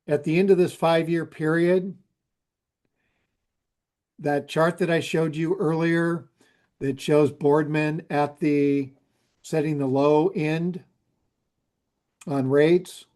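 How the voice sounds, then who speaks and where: Man, higher-pitched, slow, hesitant, restarts and fillers, remote video call presenter. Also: darkish tone. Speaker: utility rate consultant. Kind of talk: presenting to a council.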